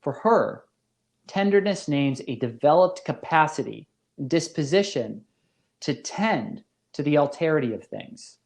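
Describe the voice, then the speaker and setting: A man giving a scripted academic lecture as a professor, with deliberate, formal phrasing and careful emphasis. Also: fairly high pitch, lively intonation. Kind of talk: scripted philosophy lecture narration.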